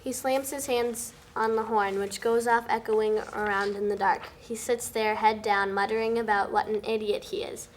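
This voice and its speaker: A woman's voice: medium pitch, steady tone, normal speed, few pauses. A woman reading out scripted stage directions as the narrator at a table read. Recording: noticeable background noise, amateur room recording.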